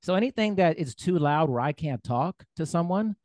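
A man hosting a podcast, talking in a relaxed, rambling way with frequent fillers. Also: fairly high pitch, moderate pace, no room sound. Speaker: podcast host. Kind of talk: casual podcast conversation.

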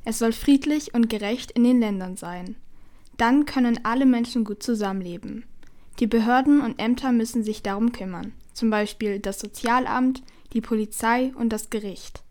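A woman reading a text in plain, simple language, slowly and clearly. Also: on the high side, a little mellow. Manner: slow, simple, clearly spoken. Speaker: reader.

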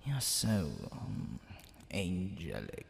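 A man voicing a smug, flirtatious demon king in a theatrical ASMR roleplay, teasing the listener, with creaky tones that drop into breathy whispers. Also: deep voice, sing-song, full character voice. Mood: smitten teasing. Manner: theatrical, drawn-out, teasing delivery. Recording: long hall reverb, background hiss and ambience.

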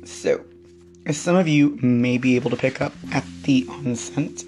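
A man hosting a reflective spiritual podcast, his voice hoarse and weakened, talking in a slow, wandering way. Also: medium pitch, a little mellow, soft background music, poor audio. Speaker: podcast host. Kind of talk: reflective solo podcast talk.

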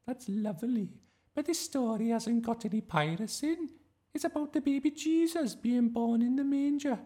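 A gushing young woman drama teacher from a children's storybook, acted out by a man. The voice sits very high and swoops about in a theatrical sing-song. Every phrase is delivered with exaggerated politeness. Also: animated, moderate pace, mellow. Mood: patient, coaxing. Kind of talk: children's audiobook character voice.

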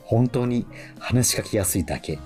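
A male radio host, chatting casually in a relaxed way, with many fillers. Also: fairly low pitch, moderate pace, faint music behind.

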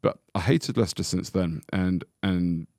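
A man's voice: sits low, normal speed, a little mellow, no echo, studio quality. A man co-hosting a casual fan podcast, opinionated and heated, with frequent restarts when he gets going. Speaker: podcast co-host.

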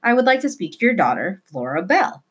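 A woman's voice: mid-range voice, sing-song, a little fast, breathy edge. A woman voicing a precocious, earnest young boy with formal, grandiose wording in a storybook reading. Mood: eager, formal.

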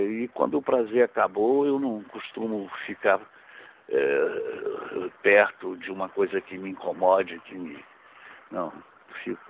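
A man with a rough, gravelly voice tells stories in a loose, rambling way, starting over often and swearing casually. His voice comes through a thin, phone-like radio broadcast. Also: low voice. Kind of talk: casual storytelling chat.

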